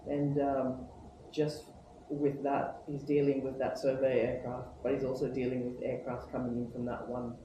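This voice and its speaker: A man's voice: mid-range voice, completely flat delivery, normal speed, warm tone, dull audio. A man, a visiting pilot, casually recounting what he saw in very informal wording. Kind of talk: casual recounting with acted quotes. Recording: very echoey, noisy room.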